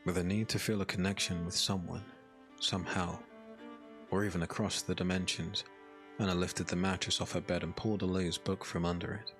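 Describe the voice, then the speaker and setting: Low-voiced solemn male storyteller. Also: flat delivery, brisk, a bit bright, faint music behind. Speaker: narrator. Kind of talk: scripted dark fantasy audiobook narration.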